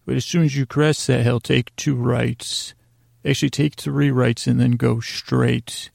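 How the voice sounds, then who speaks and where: A man hosting a solo bedtime podcast in a meandering, halting monologue, often interrupting himself and drifting into asides. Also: mid-range voice, level tone, darkish tone, dry recording, studio quality. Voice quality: gravelly, creaky. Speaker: podcast host.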